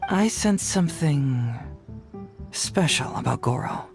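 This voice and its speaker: A young male game detective with an even, articulate delivery. He reasons things out deliberately, with cheerful confidence and a free spirit. Soft music plays underneath. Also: fairly high pitch, gravelly, heavily acted. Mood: thoughtful, assured. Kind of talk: video game character dialogue.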